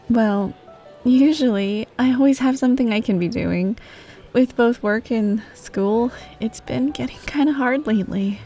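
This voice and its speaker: A woman playing a friendly, teasing yet bashful popular student, speaking softly and casually to the listener in a wholesome ASMR roleplay. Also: fairly high pitch. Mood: friendly, curious. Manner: soft, casual, hesitant, giggly. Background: music, cafe ambience.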